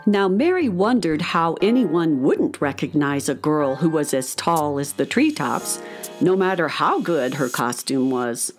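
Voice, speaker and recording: A woman narrating a scripted children's radio story in an animated storytelling manner. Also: low voice, unhurried, faint music behind.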